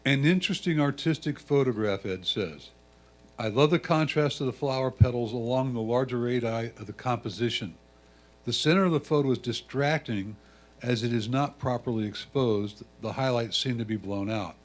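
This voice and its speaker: A man's voice: mid-range voice, normal speed. Man reading written critiques aloud. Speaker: reader of judges' comments.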